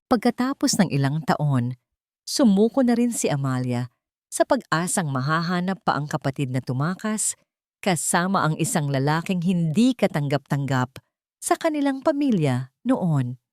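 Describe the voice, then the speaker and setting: A woman's voice: deep voice, very animated, moderate pace, a little mellow, dry recording, studio quality. A woman reading a dramatic scripted story as an audiobook narrator.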